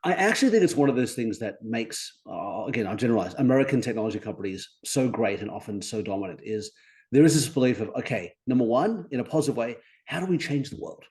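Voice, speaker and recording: An Australian man in his forties, a guest on a podcast, talking upbeat and articulate with animated emphasis. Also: medium pitch, quick pace. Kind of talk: conversational interview.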